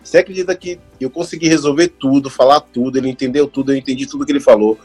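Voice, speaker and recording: A Brazilian man in his thirties telling stories in a casual chat, animated, laughing often and mimicking other voices. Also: mid-range voice, very fast, soft background music. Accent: Brazilian Portuguese. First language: Portuguese. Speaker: actor working as an extra.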